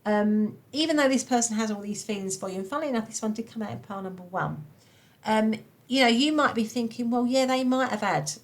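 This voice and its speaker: A woman with a croaky, slightly nasal voice from a cold, chatting warmly and reassuringly through a pick-a-card tarot reading. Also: on the high side, fast. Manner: chatty, reassuring, many fillers. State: croaky voice from a cold, blocked nose. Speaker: tarot reader.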